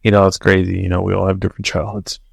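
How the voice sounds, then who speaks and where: A man's voice: low voice, completely flat delivery, fast talker, gravelly, breathy edge, no room sound. Laid-back profane man in casual chat. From Mexico. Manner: loose, profane, laughing a lot. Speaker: podcast host.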